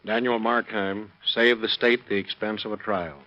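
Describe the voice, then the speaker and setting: A man speaking as a police chief, giving measured, solemn, formal closing commentary in 1930s radio style. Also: fairly low pitch, fairly even intonation. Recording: muffled vintage radio recording.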